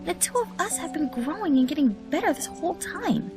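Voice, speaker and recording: A woman voicing a frightened young female cartoon pony in a dramatic story, shrill and tearful, with halting emotional delivery. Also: expressive, over music. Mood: earnest. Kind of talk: dramatic fan fiction audio reading.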